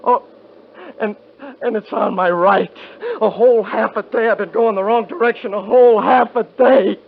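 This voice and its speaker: A stammering, scared young hunter. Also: male voice, high-pitched, steady tone, normal speed, vocal fry, a little hiss or hum. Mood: shaken. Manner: stammering, panicky. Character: lone young male hunter, frightened, haunted. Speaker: narrator and lead character. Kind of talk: 1950s radio drama acting. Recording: dull old radio broadcast.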